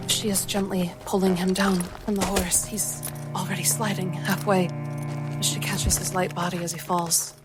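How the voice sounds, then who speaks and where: A woman playing a tabletop fantasy game, narrating her character's actions in measured, descriptive phrases. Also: fairly low pitch, flat delivery, loud music behind the voice. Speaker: player.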